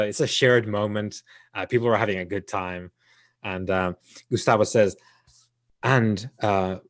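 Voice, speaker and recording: A hoarse man runs a tabletop game, narrating slowly and haltingly with plenty of fillers. His voice sits on the low side for a man. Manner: hesitant, many fillers, chuckles often. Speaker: game master.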